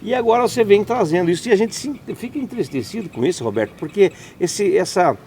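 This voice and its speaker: A man's voice: high-pitched, lively intonation, fast, a little mellow, slight background noise. A Brazilian man hosting an interview, talking indignantly in long run-on sentences with many restarts. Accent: Brazilian Portuguese. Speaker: interview host. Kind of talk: conversational interview.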